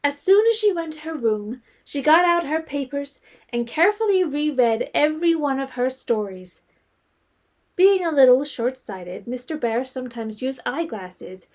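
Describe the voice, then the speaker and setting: A woman's voice: high-pitched, lively intonation, moderate pace, darkish tone. A woman reading scripted storytelling narration as the narrator of a period audio drama.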